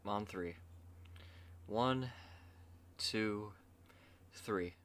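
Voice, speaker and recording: Low-voiced man chatting as host. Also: very slow, crowd noise. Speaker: ASMR roleplay channel host. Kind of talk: casual outro chat.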